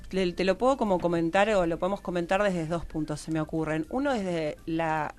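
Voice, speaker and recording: A slightly low female voice with a Rioplatense Argentine accent. She gives explanatory answers as an interview guest, circling back and rephrasing as she goes. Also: a little fast, a little mellow, soft background music. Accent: Rioplatense Argentine Spanish. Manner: restarts and rephrases often. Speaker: guest researcher. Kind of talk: explanatory radio interview talk. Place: radio studio table.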